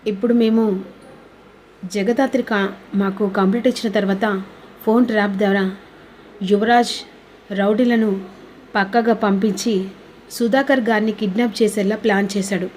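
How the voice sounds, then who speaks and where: A woman's voice: medium pitch, steady tone, moderate pace, long pauses, a little mellow, slight background noise, natural voice, low-quality recording. A woman voicing a confident female police investigator in a serial recap, authoritative and matter-of-fact. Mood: firm.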